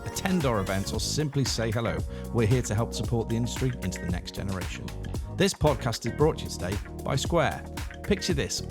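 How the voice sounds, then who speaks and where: A man hosting a podcast, chatty and energetic, laughing often as he interviews a guest. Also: mid-range voice. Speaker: podcast host. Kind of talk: casual interview.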